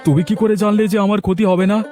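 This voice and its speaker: Man voicing a boastful fast-talking henchman. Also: high voice, steady tone, over music, close to an everyday voice. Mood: smug. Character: boastful, self-serving henchman husband of a village landlord. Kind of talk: Bengali cartoon dialogue acting.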